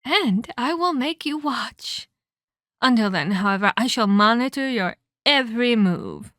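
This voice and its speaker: A woman's voice: a little high, expressive, a little slow, a bit bright, no echo, studio quality. A woman playing a sadistic, scheming, centuries-old female vampire in an ASMR roleplay, haughty and taunting, with formal, scolding phrasing. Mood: cold menace.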